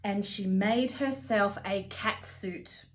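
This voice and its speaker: A woman reading a children's picture book aloud as a storyteller, with an expressive, deliberate delivery. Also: medium pitch. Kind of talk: read-aloud for young children.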